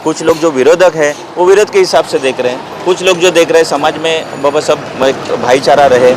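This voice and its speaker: A man's voice: a little high, a little fast. A man, a party worker, giving rambling, conciliatory explanations in a news interview. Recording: outdoor street noise, passing vehicles.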